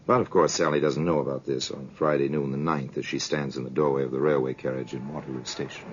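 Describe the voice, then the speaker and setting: Very deep-voiced, sardonic crime storyteller. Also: male voice, fairly even intonation. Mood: wry. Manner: measured, ominous, knowing, theatrical. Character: worldly, sardonic male storyteller of true murders. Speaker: narrator of a crime anthology. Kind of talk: 1950s radio drama narration. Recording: dull, noisy 1950s radio recording.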